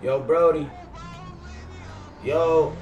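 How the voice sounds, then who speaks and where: A man's voice: a little high, fairly even intonation, extremely slow, far from the mic, highs cut off, music in the background. A young American man streaming a role-play game, bantering casually in slangy, sweary street talk on a gaming headset. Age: young adult. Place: indoors at a gaming desk. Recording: roomy headset audio with clear background noise. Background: game car and street ambience.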